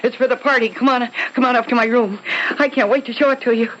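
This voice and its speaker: A woman acting in a 1970s radio drama as a young wife who is girlish, excitable and petulant. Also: on the high side, fast talker, natural voice. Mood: girlish excitement. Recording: muffled, noisy 1970s AM radio recording.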